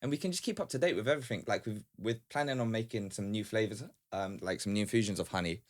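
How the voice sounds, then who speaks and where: Male podcast guest, informal and talkative, lowish pitch, rapid delivery. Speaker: guest, small business founder. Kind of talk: casual podcast conversation.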